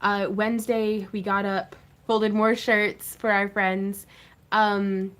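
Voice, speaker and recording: A woman's voice: mid-range voice, flat delivery, slow pace, fairly bright tone. Chatty woman hosting a craft livestream. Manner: chatty, laughs often, greets viewers. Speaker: craft channel host. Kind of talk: casual livestream chat.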